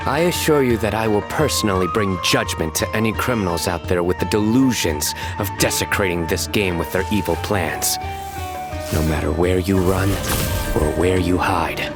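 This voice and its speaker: A stern young judge speaking low and level in clipped, solemn lines. He delivers even his puns completely deadpan. Game soundtrack music plays clearly behind him. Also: moderate pace. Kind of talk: game cutscene voice acting.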